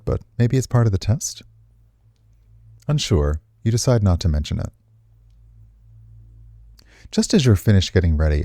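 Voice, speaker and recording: A man's voice: deep voice, warm tone, no room sound, studio quality. A man reading visual novel text aloud expressively as a game streamer, slipping into casual commentary.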